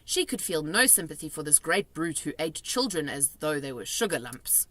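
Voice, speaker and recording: A woman reading a children's storybook aloud in an animated narrating style. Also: low voice, moderate pace. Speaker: storybook reader.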